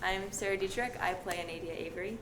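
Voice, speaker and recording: A woman's voice: medium pitch, quick pace. A woman chatting casually as an actress answering fan questions in a group Q&A. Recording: echoey room, clear background noise.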